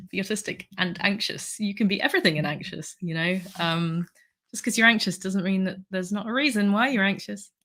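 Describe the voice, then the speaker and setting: A woman's voice: mid-range voice, fairly bright tone. A middle-aged woman from the UK chatting casually on a livestream, reading out comments with a sore throat and plenty of fillers. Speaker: livestream host.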